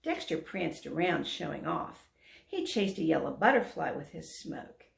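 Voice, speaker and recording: A woman volunteer narrating a children's story aloud in an expressive, emphatic storytelling manner. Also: low-pitched, measured pace, vocal fry. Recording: roomy echo.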